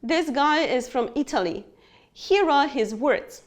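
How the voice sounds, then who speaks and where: A woman with a high voice and very lively intonation presents a scripted English lesson. She speaks in an instructional way, putting questions to her students and guiding them through the material. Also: a little slow. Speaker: English teacher. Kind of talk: scripted online lesson narration.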